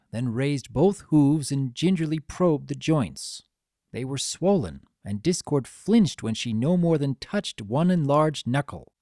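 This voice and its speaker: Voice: mid-range voice, dark tone, no room sound, clean studio sound. A narrator giving a dramatic reading of a story in a scripted storytelling style.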